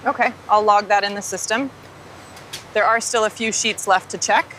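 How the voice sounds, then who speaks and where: A woman's voice: medium pitch, normal speed, fairly bright tone, slight background noise, lightly acted, poor audio. A woman playing a composed quality-control technician in scripted factory dialogue, speaking in a clipped, procedural way. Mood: composed, businesslike.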